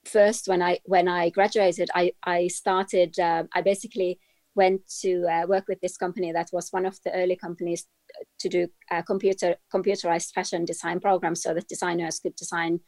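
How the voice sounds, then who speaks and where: Woman guest, low flat voice, dry sound, halting delivery. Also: dry recording. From Finland. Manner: measured, many "uh" hesitations. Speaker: guest, trend forecaster. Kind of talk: casual interview.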